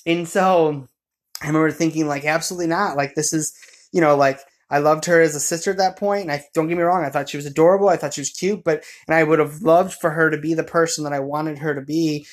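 A man telling a personal story in casual conversation, in a rambling, halting way, often correcting himself as he goes. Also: fairly high pitch, steady tone.

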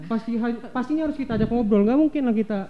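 A woman's voice: on the high side, rapid-fire. A young woman hosting a student podcast, casual, slangy and teasing. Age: young adult. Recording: dull audio with crowd noise.

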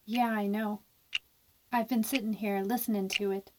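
A woman's voice: medium pitch, fairly even intonation, normal speed, noisy background, natural voice. A woman playing a guilt-ridden, defiant young woman in a horror radio drama, with an emphatic, theatrical delivery. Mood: anguished guilt. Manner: theatrical, emphatic, repeats phrases.

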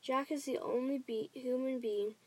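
A gentle, kindly giant from a storybook, read by a woman. The voice is rather high, with careful explaining and quaintly muddled grammar. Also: fairly even intonation, normal speed, breathy edge. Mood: confiding. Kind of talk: storybook reading.

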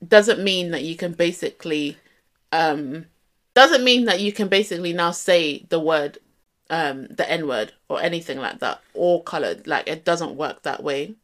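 A woman's voice: low voice, animated, unhurried. A young woman with a London accent, co-hosting a casual podcast chat and talking earnestly and reflectively, with lots of "like" fillers. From England. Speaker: podcast co-host.

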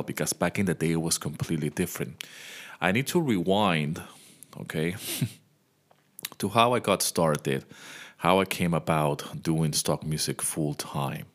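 Deep-voiced man chatting to listeners. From Venezuela. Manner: chatty, speaks straight to the listener. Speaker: podcast host, music composer. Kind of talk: casual podcast monologue. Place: home.

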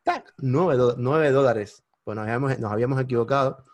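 A man with a Cuban accent, a podcast guest telling his story in lively casual conversation and bursting into high exclamations at the big moments. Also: fairly quick, no echo. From Cuba. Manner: animated, jumps into high-pitched exclamations when retelling moments.

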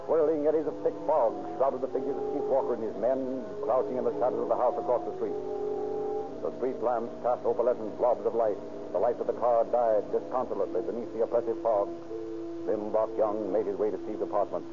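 A man's voice: medium pitch, fairly even intonation, quick pace, over music, lightly acted. A man giving dramatic, florid scripted narration in the style of a 1930s radio crime show. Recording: tinny, noisy old-time radio recording.

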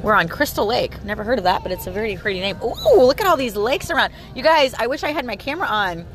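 A hoarse, tired-sounding woman in her thirties chatting casually to listeners while driving her car. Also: a little high, big pitch swings, fast talker, fairly bright tone, soft background music. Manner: chatty, bubbly, addresses listeners as "you guys". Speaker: audio blog host. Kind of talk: casual daily vlog chat. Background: car engine and road noise.

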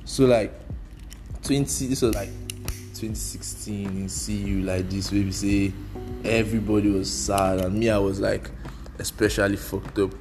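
A young man with a Nigerian accent, Igbo by first language, talks through a casual solo podcast. His voice sits on the low side, and his delivery is loose and meandering, mixing slang and pidgin. Faint music plays softly behind him. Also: fairly even intonation, moderate pace. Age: young adult. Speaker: podcast host.

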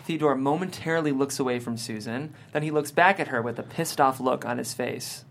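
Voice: mid-range voice, fairly quick, gravelly, a little hiss or hum. A narrator reading screenplay stage directions and scene headings in scripted narration.

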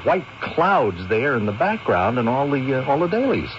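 An actor playing a baffled, stammering cameraman, heard from a distance in a dull old radio broadcast with clear hiss. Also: mid-range voice, big pitch swings, moderate pace, natural voice. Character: flustered cameraman puzzled by ruined film. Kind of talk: 1970s radio drama acting.

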